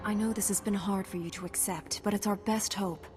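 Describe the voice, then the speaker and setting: A woman's voice: medium pitch, fairly even intonation, brisk, close to an everyday voice. Resolute woman playing a Jedi knight, faint music. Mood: serious, steady. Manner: direct, businesslike. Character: resolute female Jedi knight, firm and guarded. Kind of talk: video game cutscene acting.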